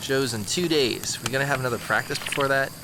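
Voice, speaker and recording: A man's voice: medium pitch, fast, close to an everyday voice. A man playing a laid-back young bandmate. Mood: casual. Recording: clear background noise.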